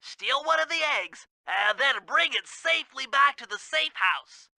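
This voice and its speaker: A man voicing a small, brainy, fussy male turtle in a video game, with a high, pinched, precise delivery. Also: lively intonation, measured pace, creaky, pushed and bright, no echo, heavily acted. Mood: instructive. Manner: precise, wordy, bookish. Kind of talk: video game character voice acting.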